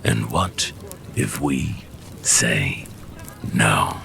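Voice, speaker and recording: A man's voice: extremely low pitch, animated, very slow, fairly bright tone, slight background noise, full character voice. A man playing a proud, defiant, power-hungry tyrant in a fantasy audio drama, with a growling, sneering, imperious delivery. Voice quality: gravelly, growling.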